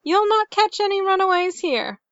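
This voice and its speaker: A woman's voice: very high-pitched, moderate pace, a bit bright, dry recording, muffled recording, voice pushed up. A woman voicing a stern, protective aunt from the desert in a story, firm, clipped and snappish. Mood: firm, guarded.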